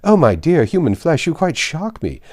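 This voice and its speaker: Guy doing a bossy patronising married lady from an old novel, pitched up, gushy n pompous, really swoopy. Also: wildly swooping pitch, moderate pace, darkish tone. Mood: shocked. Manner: pompous, gushing, insistent. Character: officious, self-important married woman, gushing and patronising. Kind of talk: dramatised classic novel reading.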